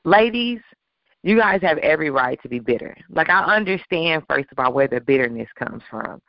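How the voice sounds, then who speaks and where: Hoarse, gravelly-voiced woman, emphatic. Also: sits low, animated, normal speed, no room sound. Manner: emphatic, opinionated, sassy asides. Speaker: podcast co-host. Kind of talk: casual podcast talk. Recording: dull lo-fi sound.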